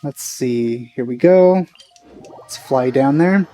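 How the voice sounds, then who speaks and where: A man's voice: mid-range voice, slow, mellow, faint music behind. A man giving casual let's play commentary with dry, teasing asides.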